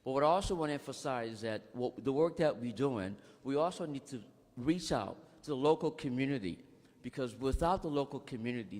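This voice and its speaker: A man's voice: medium pitch, a little mellow. Man giving a speech in an echoing hall. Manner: formal speech with frequent restarts and thank-yous. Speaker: executive director of a nonprofit. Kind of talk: public speech at a bill signing.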